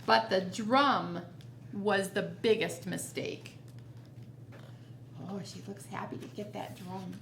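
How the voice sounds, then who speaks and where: Lady doing storytime for kids, expressive w little asides, echoey room, bit of noise. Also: mid-range voice, slow, vocal fry, long pauses. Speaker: library storytime reader. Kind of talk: expressive picture-book read-aloud for children. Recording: roomy echo, noticeable background noise.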